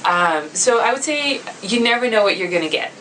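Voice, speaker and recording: A woman's voice: medium pitch, lively intonation, normal speed, sharp tone. A woman, chatting easily with students about her work as an international student coordinator, with plenty of fillers. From Netherlands. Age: 30s. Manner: easygoing and chatty, with many fillers and frequent laughter. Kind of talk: casual self-introduction to students. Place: classroom. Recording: slightly distant mic with faint background noise.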